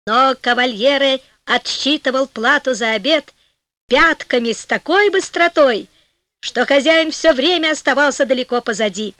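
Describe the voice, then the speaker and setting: A woman narrating a folk tale for an audiobook in an expressive, theatrical storytelling style. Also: high-pitched, moderate pace, dry recording.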